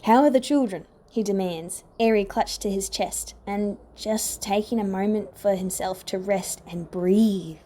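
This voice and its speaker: A female narrator reads a piece of fiction from a script. Her voice sits at an average pitch, and her storytelling is expressive. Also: measured pace, few pauses, slight background noise. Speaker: podfic narrator.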